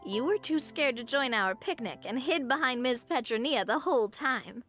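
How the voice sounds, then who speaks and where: Gentle old friend, high voice, reminiscing, music under. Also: female voice, lively intonation, normal speed. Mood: fond. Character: warm, friendly young woman reminiscing. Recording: game audio with soft music.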